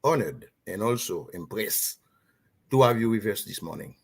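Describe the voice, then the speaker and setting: A man's voice: on the low side, lively intonation, normal speed, breathy edge. A man hosting an interview who asks his questions in a formal, courteous way. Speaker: interviewer host.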